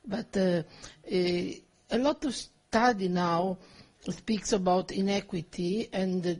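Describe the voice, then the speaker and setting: An Italian woman from the audience asking a question in English, her second language, pausing often to search for words. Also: medium pitch, darkish tone. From Italy.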